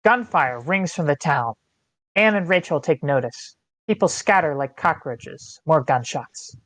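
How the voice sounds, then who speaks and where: Screenplay directions reader, measured delivery, medium-low neutral pitch, scripted narration, no room echo. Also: expressive, a bit bright. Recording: online meeting, close and dry.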